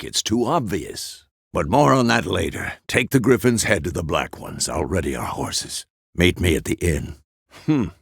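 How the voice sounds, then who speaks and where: An actor playing a gruff, stern but fatherly old monster hunter in a video game, with a gravelly voice and a terse, weary, commanding manner. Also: deep voice, dry recording, studio quality, full character voice. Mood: dry, matter-of-fact. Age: older. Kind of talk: dramatic game character dialogue.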